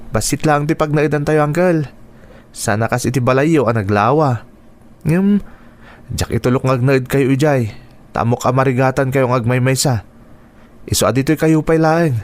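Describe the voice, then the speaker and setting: An actor playing a caring, protective young nephew in a radio drama, explaining things earnestly. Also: male voice, mid-range voice, normal speed, pauses often, natural voice. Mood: welcoming, earnest.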